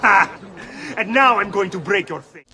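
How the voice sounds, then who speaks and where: An actor playing an arrogant, sneering young philanderer in a video game. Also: male voice, high-pitched, moderate pace, bright, forceful tone, exaggerated voice. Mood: sneering, aggressive. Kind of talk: video game cutscene acting. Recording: crowd behind the voice.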